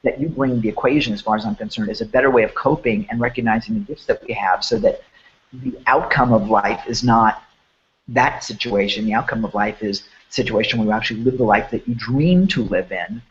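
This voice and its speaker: A man hosting a promotional online chat who sounds hoarse and sniffly. He talks in an enthusiastic, rambling way with many restarts. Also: medium pitch, fairly quick, muffled recording. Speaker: host.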